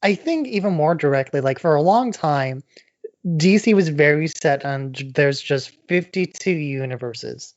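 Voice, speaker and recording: A man's voice: on the high side, lively intonation, a little slow, no echo. Male podcast co-host, casual explainer, long conversational sentences. Very dry, slightly muffled lo-fi sound.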